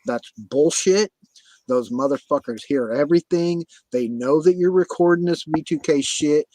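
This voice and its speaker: A man's voice: on the high side, moderate pace, warm tone, dry recording, low-quality recording. A man hosting a livestream, talking casually in a profane, repetitive rant. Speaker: livestream host.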